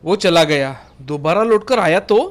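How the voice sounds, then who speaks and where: A man's voice: on the high side, lively intonation, moderate pace, natural voice. A man voicing an earnest, long-suffering talking bullock in a dramatized children's moral story. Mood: plaintive, weary. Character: earnest, suffering talking male bullock, loyal to his companion.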